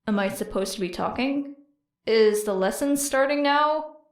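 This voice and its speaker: A woman voicing an awkward, reluctant teenage girl in an audiobook, dry, halting and understated. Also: on the high side, no echo. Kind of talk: audiobook character voice.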